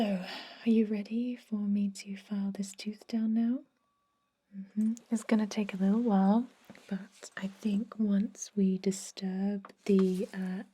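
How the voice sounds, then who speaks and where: Female ASMR dentist role: breathy whisper and soft speech, unhurried, mellow, gently reassuring toward the patient. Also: medium pitch, slight background noise. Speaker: ASMR performer playing a dentist.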